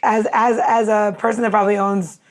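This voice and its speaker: A woman's voice: medium pitch, level tone, a little fast. A woman co-hosting a podcast in casual chat, with an informal, effusive and rambling way of talking. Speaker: podcast co-host.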